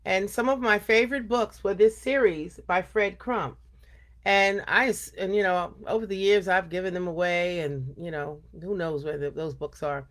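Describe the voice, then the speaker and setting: An older woman hosting a storytime, speaking earnestly and deliberately, chatting informally and reading aloud for children. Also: on the low side, fairly even intonation.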